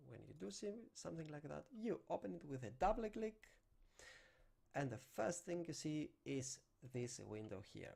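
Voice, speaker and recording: Young greek guy doing a java screen tutorial, bouncy kinda gravelly voice. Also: a little high, a little mellow. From Greece. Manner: non-native English phrasing, talking through on-screen steps. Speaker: programming instructor. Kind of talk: screen-recorded coding tutorial. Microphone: desk microphone.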